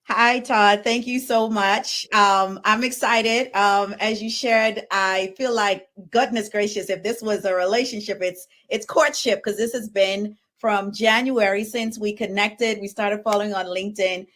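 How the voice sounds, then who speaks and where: Female interview guest from the Bahamas, average pitch, conversational podcast talk. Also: fairly even intonation, unhurried, few pauses, a bit bright.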